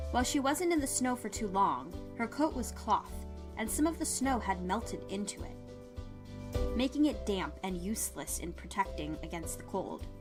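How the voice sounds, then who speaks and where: Casting: female story narrator, mid-range voice, reading scripted fiction over music. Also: mid-range voice.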